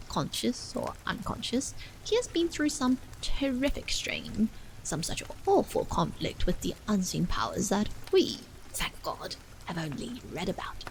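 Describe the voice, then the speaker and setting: A woman plays an elderly clergyman in a bedtime storybook, cordial and earnest. The pitch swings widely and crackles with creak as he argues patiently in grand, formal phrases. Soft rain runs behind the voice. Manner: emphatic, rhetorical, formal old-fashioned phrasing. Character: seventy-one-year-old clergyman, earnest, cordial, patiently persuasive. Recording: steady rain sounds behind the voice.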